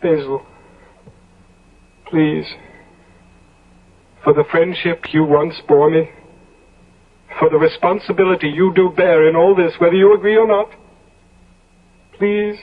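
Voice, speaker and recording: Young gentleman, agitated, guilty, theatrical stammer, husky, dull lo-fi radio drama recording. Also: fairly high pitch, fairly even intonation, unhurried, long pauses. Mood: desperate pleading. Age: young adult. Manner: stammering, theatrical, breathless pleading. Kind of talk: 1970s radio drama acting.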